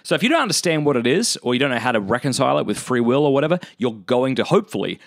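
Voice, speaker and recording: A man's voice: medium pitch, very animated, rapid-fire, creaky, no echo, clean studio sound. A man with an Australian accent, chatting informally and enthusiastically as a podcast host. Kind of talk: casual chatty interview talk.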